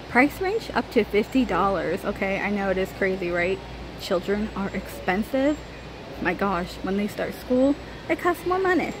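An American woman giving a casual, chatty shopping walk-through as a vlogger, browsing inside a large store with a handheld camera. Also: on the high side. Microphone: handheld phone or camera held by the speaker. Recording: clear ambient store noise. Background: store ambience.

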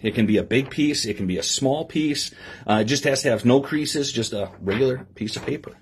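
A middle-aged man reading aloud to children in an expressive sing-song way, with simple asides to his listeners. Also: mid-range voice, fairly quick. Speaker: police lieutenant reading to children. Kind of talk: read-aloud story time with asides to young listeners. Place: small office, indoors. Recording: clear background noise with clicks.

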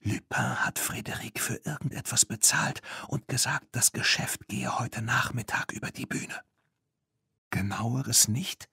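A man voicing an adventurous, secretive young girl in a children's audiobook, in a hushed, breathy half-whisper. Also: medium pitch, unhurried, no echo, studio quality, heavily acted.

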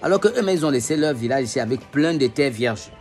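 A man's voice: mid-range voice, animated, fast talker, a little mellow, soft background music. A man talking earnestly to his phone camera, making an argument. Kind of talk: opinion video commentary.

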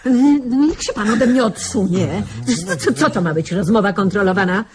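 An actress plays a haughty woman doctor in a radio play. She is indignant and agitated, and her mid-pitched voice moves in lively, exclamatory swings. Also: normal speed, crowd noise, natural voice. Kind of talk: radio drama acting.

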